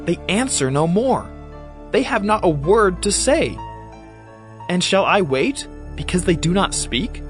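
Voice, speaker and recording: High-pitched, fervent young man. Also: big pitch swings, a little slow, music in the background. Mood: indignant. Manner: declamatory, insistent, earnest. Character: fervent, indignant young man rebuking his elders. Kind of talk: dramatized scripture reading.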